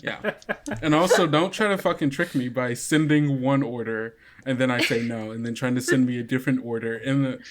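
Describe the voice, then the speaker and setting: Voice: mid-range voice, fairly quick, slight background noise. Joking, informal podcast game master. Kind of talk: casual podcast chat and game narration.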